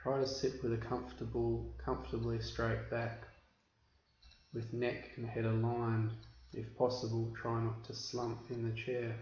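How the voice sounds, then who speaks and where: A man leads a guided meditation in an extremely even, unvarying tone at a middling pitch. His instructions are measured, with deliberate pauses between phrases. The recording is roomy and somewhat muffled. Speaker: meditation teacher. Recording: roomy, dull recording with background hiss.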